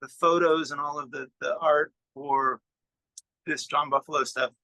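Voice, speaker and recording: A man's voice: a little high, fairly even intonation, measured pace, creaky, bright, forceful tone, dry recording, poor audio. A middle-aged man, a musician, chatting casually and informally in an interview in a self-effacing way. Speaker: musician and record producer being interviewed.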